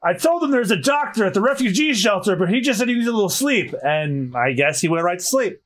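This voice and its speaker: A man's voice: high-pitched, sing-song, fast talker, fairly bright tone, exaggerated voice. A man voicing a cranky, indignant old town mayor who blusters and scolds in a comic story read aloud. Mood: explaining.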